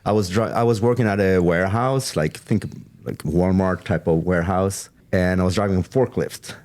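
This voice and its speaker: Swedish-born man with a low voice, laughing. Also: normal speed, a little mellow. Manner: laughs often, friendly asides. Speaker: podcast host. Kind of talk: casual interview chat.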